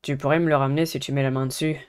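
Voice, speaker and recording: A woman's voice: extremely low pitch, flat delivery, racing, clean tone, pitched below the performer's own voice. A woman voicing a reserved, polite teenage boy singer from a visual novel, in an understated manner. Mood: discreet. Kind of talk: visual novel character reading.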